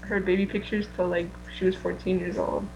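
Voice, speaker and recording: A woman's voice: fairly low pitch, little pitch movement, fairly quick, gravelly, darkish tone, lo-fi sound, slight background noise. A woman chatting informally as a podcast co-host in an offhand, conversational way. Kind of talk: casual chat.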